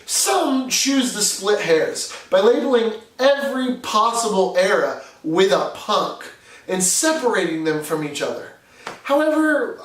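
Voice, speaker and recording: A man's voice: sits high, reverberant, recorded from a distance. A man with a New England accent, from New Hampshire, giving an earnest, conversational explainer as a video host. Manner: earnest, conversational, with occasional fillers. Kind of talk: scripted explainer narration.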